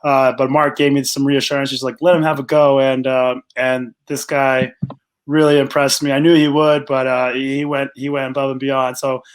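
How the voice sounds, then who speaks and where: A man speaking casually as an interview guest, telling things in a halting, earnest way with many fillers. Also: mid-range voice, flat delivery, a little hiss or hum. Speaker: guest, rugby coach and organizer.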